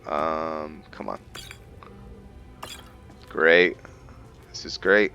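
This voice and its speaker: A man giving casual commentary on a roleplay video game, halting as he thinks aloud and explains the lore. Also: rumbling low, steady tone, gravelly, muffled recording, soft background music. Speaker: gamer narrating a roleplay playthrough.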